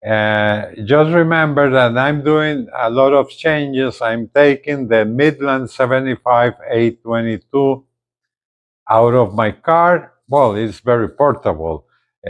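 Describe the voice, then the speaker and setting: A man presenting a casual unboxing video, speaking haltingly and often repeating his words. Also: medium pitch. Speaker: gadget reviewer, video host.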